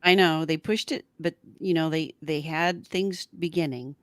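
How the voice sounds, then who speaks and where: A woman's voice: low voice, steady tone, unhurried, dry recording, clean studio sound. A woman co-hosting a casual live-stream chat, musing aloud and often trailing off as she speculates. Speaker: podcast co-host.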